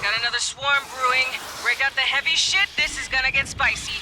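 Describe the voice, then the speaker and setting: An actor voicing a gung-ho space marine squad leader in a video game, giving brisk military orders. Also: male voice, extremely high pitch, heavy background noise. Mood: brisk, commanding. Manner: brisk, commanding military delivery. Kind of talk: video game combat voice acting. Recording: loud game music and machinery behind.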